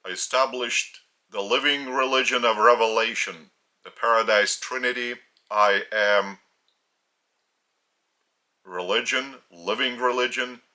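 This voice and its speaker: A man from Lithuania with a Lithuanian accent, a spiritual teacher speaking in short, halting phrases, his native language being Lithuanian. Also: mid-range voice, fairly even intonation, pushed and bright. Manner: halting, phrase-by-phrase delivery with pauses. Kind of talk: slow spiritual teaching talk.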